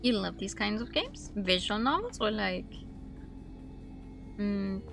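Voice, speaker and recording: A woman's voice: medium pitch, very animated, slow, creaky. A woman livestreaming a game, commenting casually and reading bits of text aloud as she chats with viewers. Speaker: streamer. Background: soft background music and game audio.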